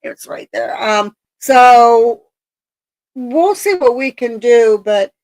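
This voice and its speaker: A woman hosting a casual live stream, raspy-voiced after a coughing spell, rambling with lots of ums and likes. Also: a little high, lively intonation, slow pace, darkish tone. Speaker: streamer host.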